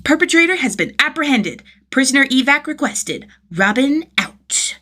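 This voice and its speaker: A woman's voice: high-pitched, big pitch swings, normal speed, voice pushed up, heavily acted. A woman voicing a small, earnest boy playing hero in an acted story, dramatic and defiant. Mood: proudly official. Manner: shouting, dramatic.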